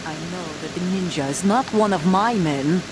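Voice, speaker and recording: A woman's voice: fairly low pitch, animated, normal speed, background noise, natural voice. An actress playing a defiant, bitter young woman soldier in a video game, with a hard-edged, confrontational delivery. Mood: bitter, threatening. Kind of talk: video game cutscene acting.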